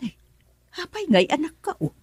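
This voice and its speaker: A woman's voice: high voice, big pitch swings, normal speed, darkish tone, dry recording. A woman playing a middle-aged mother who anxiously pleads with and cares for her sick daughter in a radio drama. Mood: worried. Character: worried mother caring for her ill daughter.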